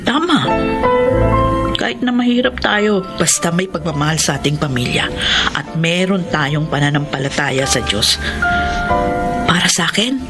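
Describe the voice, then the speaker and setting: An actress playing a caring, devout middle-aged housemaid mother with a Filipino accent, expressive and motherly in a radio drama. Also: low-pitched, measured pace, pauses often, loud music behind the voice. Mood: caring, earnest.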